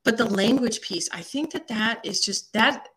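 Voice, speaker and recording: A woman hosting a podcast, speaking in a gushing, effusive way with halting restarts. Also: fairly high pitch, a bit bright, husky. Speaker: podcast host. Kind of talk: casual interview chat.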